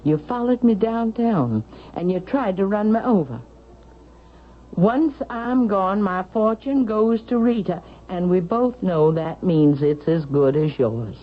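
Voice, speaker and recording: An actress playing a proud, suspicious elderly Southern mother in a vintage radio drama, haughty, sharp and demanding. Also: medium pitch, animated, a little slow, natural voice. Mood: cold, accusing. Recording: dull vintage radio broadcast, faint noise.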